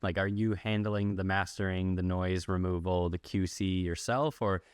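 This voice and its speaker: A man with a very low, clear voice. He speaks as an interviewer, asking questions in a conversational way. The recording is dry and close. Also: fairly even intonation, moderate pace, no room sound. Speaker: podcast host, interviewer.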